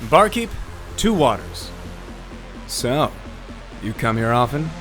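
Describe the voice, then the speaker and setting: A man's voice: mid-range voice, sing-song, slow, a bit bright, faint music behind, low-quality recording. A man playing a persistent, earnest flirt at a nightclub bar in an audio drama. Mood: smooth, flirty.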